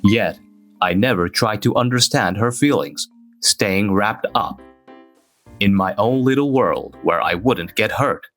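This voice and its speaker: Male actor as reserved young office worker, low voice, self-doubting first-person narrator for a romance manga dub, music underneath. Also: a little slow, a bit bright. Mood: pensive, regretful.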